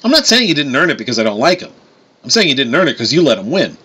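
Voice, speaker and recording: Man voicing a sardonic, animated woman. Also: mid-range voice, quick pace, slightly rough, pitched above the performer's own voice, exaggerated voice. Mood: accusing. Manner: sardonic, blunt, sweary. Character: sharp-tongued sarcastic woman, self-described fake goddess.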